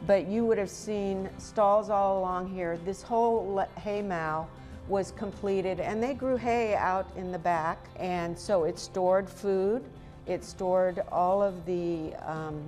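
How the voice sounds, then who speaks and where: A woman's voice: medium pitch, slow pace, darkish tone, faint music behind. An older American woman speaking informally as an interviewee, on a lapel mic in a large old building. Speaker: museum representative, interviewee. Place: large old brick livery stable, indoors.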